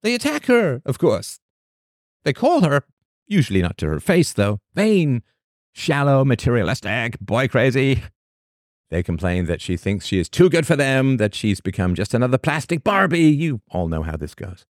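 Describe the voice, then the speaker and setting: A man hosting a podcast, reading a scripted monologue full of rhetorical questions and emphatic pauses. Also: medium pitch, very animated, dry recording, studio quality. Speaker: podcast host.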